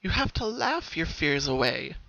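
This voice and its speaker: A man voicing a gentle, wise old grandmother pony in a story reading, with a soft, soothing, slow and tender delivery. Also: a little high, very animated, creaky, dry recording, heavily acted. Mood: gently encouraging. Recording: dull, muffled home recording.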